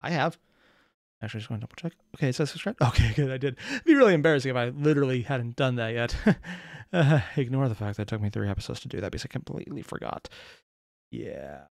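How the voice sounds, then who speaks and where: A man's voice: mid-range voice, very animated, fast, creaky, no echo, clean studio sound. A man hosting a reaction video with casual, rambling commentary, full of slang and wry asides to viewers.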